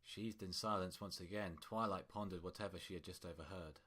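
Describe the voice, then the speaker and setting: A man with a low-pitched voice narrates a piece of fiction. He reads scripted prose as the storyteller, in a deep register. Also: a little fast, bright, forceful tone.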